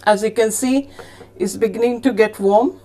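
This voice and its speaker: A woman's voice: fairly high pitch, normal speed, mellow. Chatty middle-aged woman cooking host. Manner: chatty, instructive, with fillers and self-corrections. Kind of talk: cooking demonstration and recipe read-out. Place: home kitchen set, indoors.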